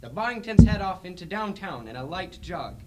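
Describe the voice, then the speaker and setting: A man's voice: high-pitched, big pitch swings, normal speed, heavy background noise. A young man narrating an amateur radio drama in an emphatic, theatrical, scripted style. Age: teenager or young adult. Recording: music behind the voice.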